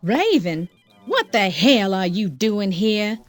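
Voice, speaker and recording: An actress playing a haughty, calculating fiancée in an audio drama, with a sardonic, sharp-tongued manner. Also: female voice, mid-range voice, big pitch swings, no room sound, faint music behind. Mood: imperious. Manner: unhurried, sardonic.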